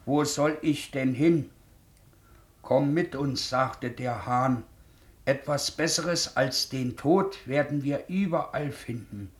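An actor playing a kindly, weary middle-aged ex-convict shoemaker, gentle and colloquial, in a 1950s radio play. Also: male voice, medium pitch, slow, close to an everyday voice. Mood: reading aloud slowly. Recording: 1950s radio drama recording.

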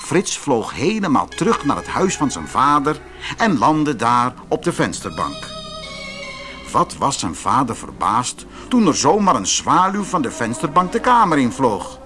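A man reading a fairy tale for children in an expressive narrating style. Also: mid-range voice, measured pace, soft background music.

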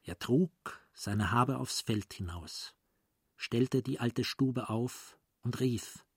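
A man narrating a radio play as a literary storyteller, in a deliberate, dramatic manner. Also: mid-range voice, slightly rough, no room sound, studio quality.

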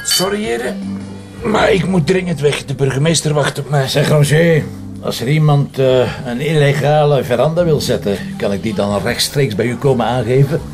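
An actor playing a gruff, sardonic Flemish police commissioner in a radio drama, with a brusque, teasing manner. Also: male voice, medium pitch, moderate pace, over music, close to an everyday voice. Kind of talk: naturalistic Dutch-language radio drama acting.

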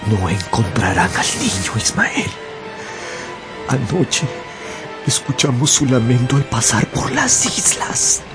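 An actor playing a grim sailor delivering dark news in a radio drama. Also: male voice, mid-range voice, huge pitch swings, slow, exaggerated voice. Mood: ominous. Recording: radio drama, voice off-mic with music. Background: music score.

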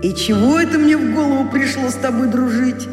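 An actress playing a scornful schoolboy, accusing and contemptuous, in an echoing children's radio play. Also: female voice, high voice, fairly quick, natural voice. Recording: long echoing reverb over music.

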